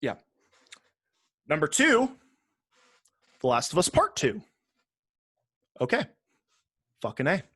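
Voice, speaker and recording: A man's voice: on the high side, wildly swooping pitch, slow pace, pauses often, a bit bright, slightly rough, no room sound, clean studio sound. A man co-hosting a podcast, chatting casually with some swearing as he runs through a ranked list. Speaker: podcast co-host. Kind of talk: casual chat, reading out a ranked list with commentary.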